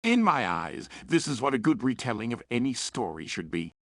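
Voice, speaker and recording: A man's voice: medium pitch, sing-song, moderate pace, creaky, no echo. A man delivering a scripted review with opinionated, emphatic delivery. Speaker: story reviewer.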